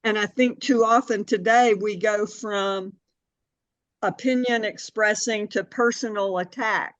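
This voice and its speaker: An older woman answering as an interview guest in a deliberate, plainspoken, conversational way, on a slightly dull recording. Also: mid-range voice, steady tone, no echo. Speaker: interview guest, community activist and city board member.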